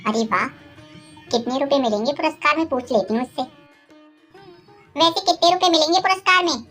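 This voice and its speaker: A woman voicing a greedy, scheming older mother-in-law in a cartoon moral story, bossy, insistent and quick to take offence. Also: high voice, music in the background, close to an everyday voice.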